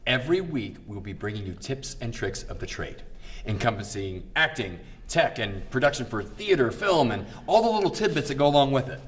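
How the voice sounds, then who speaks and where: A man's voice: mid-range voice, sing-song, a little fast, distant mic, a little hiss or hum. A man with a Midwestern American accent co-hosting a theater show, delivering scripted lines in an upbeat way. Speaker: co-host.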